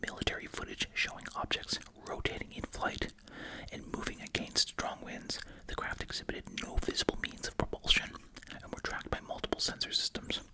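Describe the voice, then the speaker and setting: An ASMR host speaking in a breathy, unvoiced whisper, reading aloud and musing casually. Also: a little fast, poor audio.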